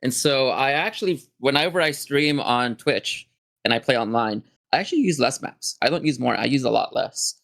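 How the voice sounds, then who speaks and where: Gravelly-voiced man musing on a podcast. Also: medium pitch, moderate pace, a bit bright. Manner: thoughtful, circling back to rephrase. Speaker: podcast guest and game master. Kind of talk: casual podcast chat.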